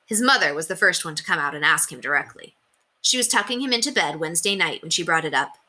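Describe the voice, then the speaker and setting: A woman narrating a children's book aloud in an expressive read-aloud style. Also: sits low, quick pace. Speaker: storyteller reading aloud to children.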